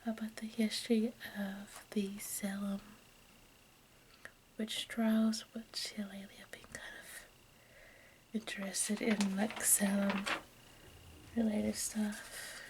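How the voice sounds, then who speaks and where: A woman speaks in a breathy, unvoiced whisper for ASMR. Her delivery is slow and very even, with halting stumbles as she reads informally. A steady, noticeable noise sits behind the voice. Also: mid-range voice. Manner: halting, stumbles over words while reading. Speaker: ASMR video host.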